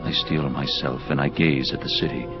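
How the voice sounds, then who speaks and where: An actor playing a proud, intense angel captain of the heavenly host in an audio drama, speaking in a formal, stately way. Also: male voice, rumbling low, fairly even intonation, normal speed. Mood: brooding, proud. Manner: formal, stately, contraction-free speech. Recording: muffled lo-fi audio drama with music. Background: prominent music.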